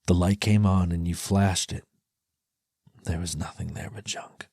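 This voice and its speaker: A man narrating a dark second-person story in ASMR style, hushed and often dropping into a whisper. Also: sits low, steady tone, unhurried, creaky, no room sound, clean studio sound. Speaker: audio storyteller.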